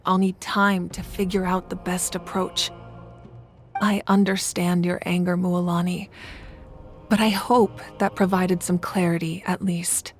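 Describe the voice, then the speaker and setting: A woman's voice: lowish voice, level tone. Solemn, commanding woman ruler. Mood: resolute. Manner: measured, solemn, formal. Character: composed, authoritative woman ruler, a fiery war goddess. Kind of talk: video game voice acting. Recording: music behind the voice. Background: background music.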